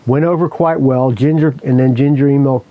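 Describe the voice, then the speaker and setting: A man's voice: medium pitch, steady tone, a little fast, phone-quality sound. A man hosting a podcast, telling a story in a casual, rambling way, correcting himself often and swearing freely. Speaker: podcast host.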